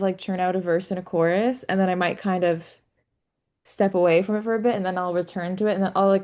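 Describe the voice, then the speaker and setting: A woman's voice: mid-range voice, darkish tone. Chatty nineteen-year-old woman. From Canada. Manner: chatty, frequent "like" fillers. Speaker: singer-songwriter guest. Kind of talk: casual interview. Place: remote call.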